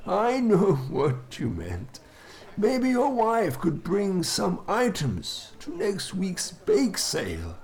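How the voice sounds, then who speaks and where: A man acting an officious, smooth-talking vicar who is polite and evasive in a comedy audio drama. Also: high-pitched, wildly swooping pitch, slow, a little mellow, full character voice. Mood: genial, suggestive.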